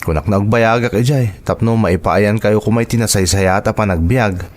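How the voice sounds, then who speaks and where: A man's voice: fairly low pitch, moderate pace, a little mellow, natural voice. A man playing an anxious, apologetic husband and migrant worker in a radio drama, with an Ilocano accent and earnest, emotive delivery. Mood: downcast, earnest. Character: Robert, an anxious husband working overseas, apologetic.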